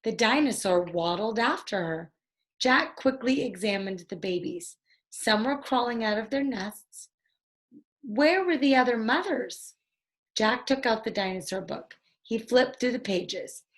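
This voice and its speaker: A woman narrates a children's storybook aloud. Her voice sits fairly high and moves very slowly, with deliberate, expressive phrasing. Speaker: storybook reader.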